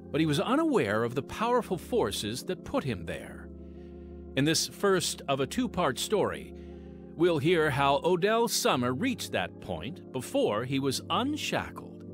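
A man hosting and narrating a drama podcast with a polished, scripted presenter delivery. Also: mid-range voice.

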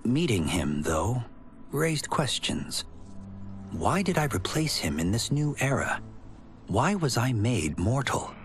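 An actor playing a young mortal thunder god, narrating reflectively in a game ending over music. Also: male voice, mid-range voice, very animated, slow.

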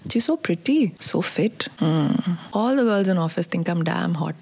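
A woman's voice: lowish voice, lively intonation, moderate pace, vocal fry, husky, exaggerated voice. A woman with an Indian accent performing a dramatic monologue as a controlling, manipulative husband talking at an unheard wife. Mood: smug, belittling. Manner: accusing, demanding, addressing an unheard wife. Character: controlling, belittling, manipulative husband, jealous and volatile.

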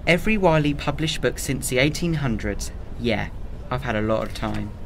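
A man's voice: mid-range voice, lively intonation, normal speed, creaky, a bit bright, a little hiss or hum. A man acting a lonely, regretful immortal in an audio drama, gloomy and understated. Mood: awkward, apologetic.

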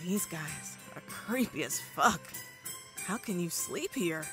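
A woman voicing an edgy, wary young female drifter with uneasy, rough talk in a comic dub. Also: lowish voice, animated, slow, over music. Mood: uneasy, grumbling. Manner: swearing, uneasy.

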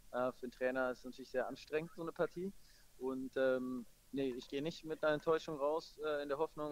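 A man gives a post-match interview as a football coach. He talks quickly and keeps his pitch very even. His manner is measured and matter-of-fact, at a middle pitch. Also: rough recording.

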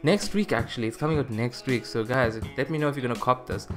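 A man's voice: medium pitch, fast. Young man rambling casually over music. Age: young adult. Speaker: YouTuber reviewing game trailers. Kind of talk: casual reaction commentary to camera. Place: small room at a computer desk, indoors. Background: background beat music.

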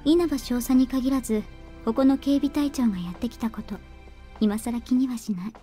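An actress playing a cold, aloof, youthful-looking genius scientist in a visual novel, cool and detached. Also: female voice, fairly high pitch, quick pace, mellow, natural voice. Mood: cool, reproachful. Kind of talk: Japanese visual novel voice acting. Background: game music.

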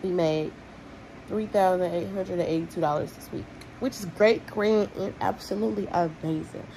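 A woman's voice: fairly low pitch, normal speed, vocal fry, warm tone, a little hiss or hum, poor audio. A young woman, chatting casually and bluntly to her vlog audience while tallying money aloud. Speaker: vlogger. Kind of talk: casual vlog talk to camera.

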